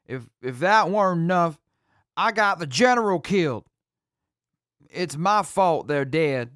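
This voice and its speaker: Broken ex-leader played by a man, clear voice a little high, slow, halting and full of guilt, wasteland audio drama. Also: animated, fairly bright tone, dry recording, clean studio sound. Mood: bitter, guilty. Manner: halting, self-blaming. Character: guilt-ridden, defeated former leader in jail. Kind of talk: post-apocalyptic audio drama acting.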